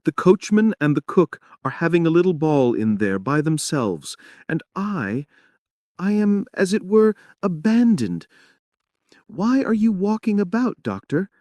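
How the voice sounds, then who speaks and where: A man's voice: sits high, big pitch swings, unhurried, darkish tone, dry recording, voice pushed up. A man playing a plaintive, sad young wife, swooping expressively. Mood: lonely, wistful. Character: sad, lonely, pleading ailing young wife Anna. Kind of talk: dramatic reading of a stage play.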